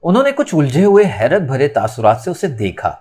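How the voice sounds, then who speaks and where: Animated male audiobook narrator. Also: fairly high pitch, a little fast, breathy edge. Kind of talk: dramatic scripted narration of a novel. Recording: music under the voice.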